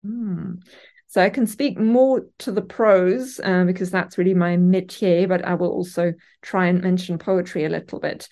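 A German-born woman with a German accent, an academic guest answering interview questions thoughtfully, pausing often with ums and restarting phrases. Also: lowish voice, steady tone, dark tone. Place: university office. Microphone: remote call from office.

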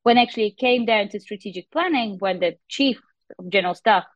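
A woman's voice: medium pitch, fairly quick, no room sound, dull audio. A young adult woman from Russia with a Russian accent, explaining her research as an interview guest. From Moscow, Russia.

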